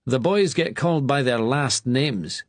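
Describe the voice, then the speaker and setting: A man voicing a severe male schoolmaster with a Scottish accent and a sharp, barking way of talking. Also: medium pitch, expressive, a little slow, dry recording, voice pushed up, close to an everyday voice. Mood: sharp, scolding.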